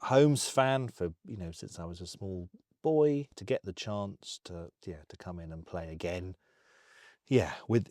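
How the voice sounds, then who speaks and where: A man's voice: sits low, darkish tone, dry recording, clean studio sound. A man, a writer, answering in an interview in a thoughtful, slightly hesitant way. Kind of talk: interview chat.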